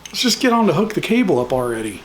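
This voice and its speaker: A man's voice: fairly high pitch, big pitch swings, fast talker. A man in his forties talking casually to camera, plain-spoken and mildly grumbling, as an amateur radio vlogger. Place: small home radio room. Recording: roomy echo, music behind.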